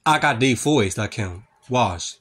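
A man speaking slowly in short, broken phrases, repeating greetings over and over. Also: medium pitch, lively intonation, a bit bright. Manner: slow, repetitive, halting broken phrases. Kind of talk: repetitive phone-style greetings. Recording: faint background noise.

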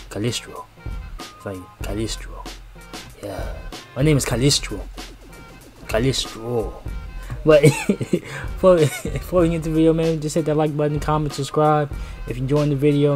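A young American man commentates casually on a game he is playing. His talk is slangy and expressive, with repeated phrases as he reacts, over faint music from the game. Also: medium pitch. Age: young adult. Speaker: gaming YouTuber. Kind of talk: casual let's-play commentary. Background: game audio and music.

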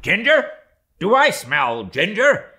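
A man voicing a bluff ship's mate, loud and sarcastic, bright voice, swoops high. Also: wildly swooping pitch, a little slow, heavily acted. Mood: indignant mockery. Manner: loud, blustering, sarcastic questioning. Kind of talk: audiobook character voicing.

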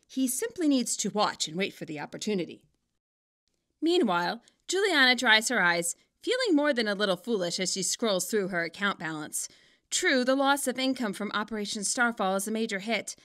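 A woman narrating a scripted story in an expressive, wryly dramatic way. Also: medium pitch. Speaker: author narrating own fan fiction.

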